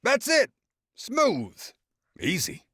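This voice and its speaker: A man voicing a boastful father loudly mocking his son in front of the family. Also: very high-pitched, wildly swooping pitch, extremely slow, no echo, studio quality, pitched above the performer's own voice, full character voice. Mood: gleeful mocking. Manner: loud, mocking, laughing storyteller. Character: boastful teasing middle-aged father, loud.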